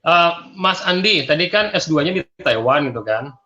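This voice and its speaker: Bright, chatty male host on lo-fi audio. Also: on the high side, expressive, normal speed. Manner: chatty, upbeat, friendly prompting. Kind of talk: casual live-stream interview.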